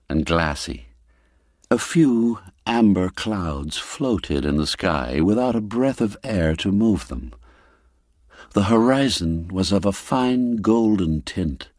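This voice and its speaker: A man reading a classic tale aloud as a scripted narration, slow and storytelling, letting phrase endings fall away. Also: low-pitched, a little mellow, no echo, studio quality. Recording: faint music behind.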